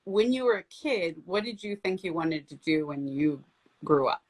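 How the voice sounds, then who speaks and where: A woman's voice: lowish voice, expressive, normal speed, poor audio. A woman hosting an interview, asking questions in a friendly, upbeat way with frequent fillers. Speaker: interview host. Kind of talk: casual interview.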